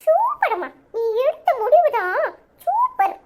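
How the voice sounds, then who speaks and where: A woman's voice: very high-pitched, wildly swooping pitch, unhurried, noisy background, voice pushed up, full character voice. A woman voicing a cheeky, scheming little boy who makes gleeful, mocking asides. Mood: cheering.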